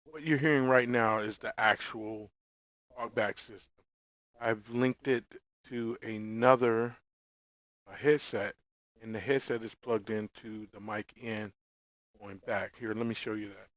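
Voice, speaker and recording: A man giving a casual product review, explaining informally in short, halting phrases. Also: lowish voice, steady tone, fairly bright tone, no echo, highs cut off. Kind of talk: casual gear review vlog.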